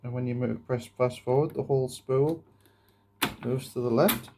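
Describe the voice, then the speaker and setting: A man giving a casual, step-by-step hands-on demonstration in a halting, explanatory way. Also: mid-range voice, fairly even intonation, noisy background. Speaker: presenter of a gadget demonstration video. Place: indoors at a wooden table.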